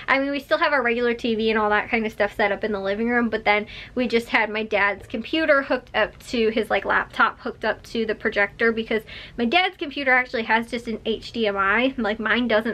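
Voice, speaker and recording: A young woman talks straight to camera in a loose, meandering way, stacking "like" and "um" as she goes. Her voice is bright and sits a little high, with a slight roughness after coughing. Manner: rambling, chatty, full of fillers and laughs. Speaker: vlogger. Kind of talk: casual chat to camera.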